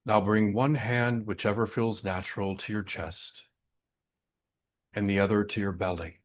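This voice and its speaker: A man with a fairly low voice guides a breathing meditation. He speaks gently and without hurry, giving short instructions and letting long silences sit between them. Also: steady tone. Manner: gentle, unhurried, with long pauses.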